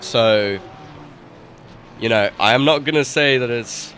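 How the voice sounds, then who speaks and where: A man commentating a sim racing broadcast live, sounding nasal from a cold, rising in excitement at overtakes. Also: medium pitch, a little hiss or hum. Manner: excited rises at overtakes, quick asides. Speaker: race commentator.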